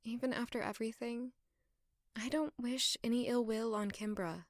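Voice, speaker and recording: Peasant maid, female actor: kind, forgiving, earnest, higher-than-average voice, audio drama, close dry sound. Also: steady tone, normal speed, no room sound, lightly acted.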